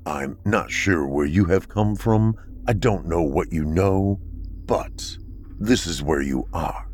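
Guy voicing a stern androgynous bunker dweller, deep voice, slow and measured. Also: darkish tone, slight background noise.

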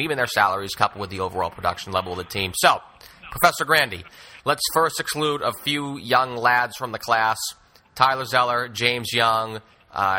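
A man's voice: mid-range voice, pushed and bright, rough recording. A man hosting a sports podcast, talking basketball conversationally with wordy, wry asides.

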